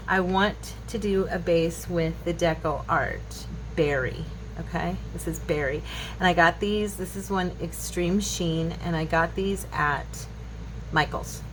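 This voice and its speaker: A woman in her fifties chatting casually through a craft tutorial, rambling and musing aloud as she works indoors. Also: on the low side. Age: 50s. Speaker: craft channel host. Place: indoor craft table at home. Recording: clearly noisy home recording.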